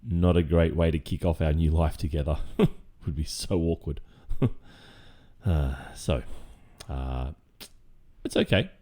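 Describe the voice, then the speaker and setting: A man with an Australian accent playing an obsessive, creepily cheerful kidnapper boyfriend in an intimate ASMR roleplay, halting and falsely reassuring, with nervous chuckles. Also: very deep voice, animated, dark tone, exaggerated voice. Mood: relieved, awkwardly amused.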